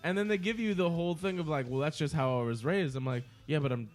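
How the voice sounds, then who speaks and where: A man's voice: on the high side, fast, faint music behind. A man co-hosting a podcast in casual chat, swearing freely and mimicking the people he argues with. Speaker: podcast co-host.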